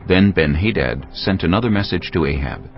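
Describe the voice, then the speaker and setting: A man's voice: deep voice, lively intonation, moderate pace. A man narrating a dramatised Bible story as a scripted reading. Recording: dull lo-fi audio with music. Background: background music.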